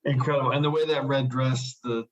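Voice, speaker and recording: A man's voice: medium pitch, steady tone, fairly quick, low-quality recording. A man hosting a podcast in casual discussion, talking enthusiastically and repeating his words of praise. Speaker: podcast host.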